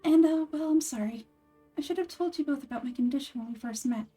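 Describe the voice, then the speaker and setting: Woman as a shy, high-voiced young ranger girl. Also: quick pace, dark tone, faint music behind, lightly acted. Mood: earnest, apologetic. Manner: hesitant, sincere.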